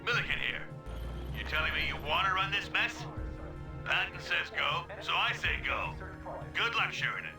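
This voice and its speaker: An actor playing a gruff, blunt American tank general in a war game, folksy and foul-mouthed with an American accent. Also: male voice, high-pitched, lively intonation, moderate pace, reverberant, music in the background. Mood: brash.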